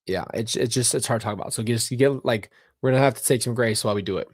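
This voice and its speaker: A man giving a personal, somber commentary on a livestream, speaking haltingly and often repeating phrases. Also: medium pitch, no echo, clean studio sound. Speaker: documentary reaction host.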